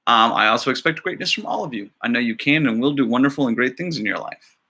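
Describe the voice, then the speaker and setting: A man's voice: mid-range voice, lively intonation, fast talker, pushed and bright. A man, a school librarian, talking casually and encouragingly to young children as he introduces a story.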